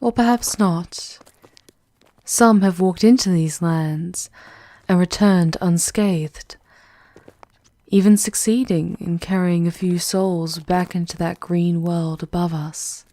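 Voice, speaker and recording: Female actor as world-weary demon queen guide, ASMR roleplay. Dark, velvety low tone, unhurried formal delivery, breathy near-whispers. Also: fairly even intonation, dry recording. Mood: calm, guarded. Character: regal, world-weary demon queen, once human, guiding a wanderer. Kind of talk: slow, intimate ASMR roleplay monologue.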